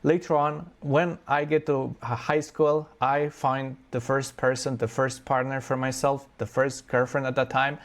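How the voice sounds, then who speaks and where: A man, in a middle-pitched, everyday voice. He tells his own story earnestly and without hurry, leaving thoughtful pauses between his phrases. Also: flat delivery. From Romania. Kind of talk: reflective personal storytelling.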